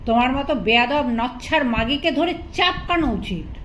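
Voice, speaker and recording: A woman's voice: sits high, animated, moderate pace, fairly bright tone, a little hiss or hum. A woman narrator voicing a hot-tempered, domineering young husband in an audio story, scolding furiously.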